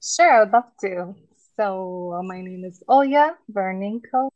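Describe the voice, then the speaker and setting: A woman's voice: mid-range voice, dry recording, dull audio. A woman whose first language is Russian, from Latvia and raised in the United States, talking frankly and with plenty of laughter as a podcast guest. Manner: frank, animated and quick to laugh. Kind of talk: casual podcast chat.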